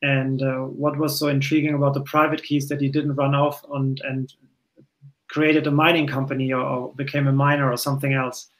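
A man's voice: mid-range voice, little pitch movement, moderate pace, poor audio. A man moderating a panel over a video call, with a hesitant way of talking, restarting and repeating words. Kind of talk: hosting a panel discussion. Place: home room, in doors.

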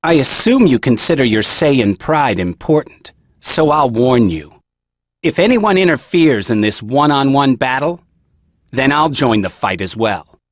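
Cool young android fighter, dry recording. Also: male voice, medium pitch, big pitch swings, dry recording. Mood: cool warning. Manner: measured, coolly threatening. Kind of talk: dubbed anime video game acting.

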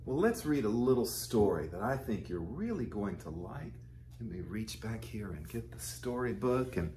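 A man in his fifties, a pastor reading a picture book aloud to young children and explaining it gently and simply. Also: fairly low pitch, slight background noise. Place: small furnished living room, indoors.

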